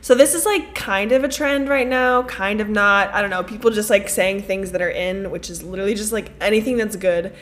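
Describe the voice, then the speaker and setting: A woman's voice: mid-range voice, fairly quick, few pauses, a bit bright. A woman co-hosting a casual podcast, bubbly and teasing, with lots of "like" and "um.". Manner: bubbly, teasing, filler-heavy. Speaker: podcast co-host. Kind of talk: casual chat.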